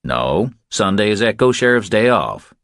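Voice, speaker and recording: A man's voice: fairly low pitch, very animated, unhurried, a bit bright, dry recording, studio quality, voice pushed up, heavily acted. A man voicing a blunt young Texas Ranger sergeant in an audiobook, clipped, authoritative and unyielding. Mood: officious, firm.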